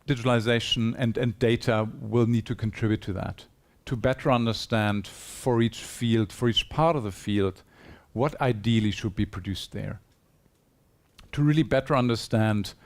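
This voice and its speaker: Brief: male conference speaker on stage, mid-range voice, earnest and persuasive, speaks into a stage mic. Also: medium pitch. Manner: earnest, persuasive, poses rhetorical questions to the audience. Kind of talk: conference presentation. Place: conference hall.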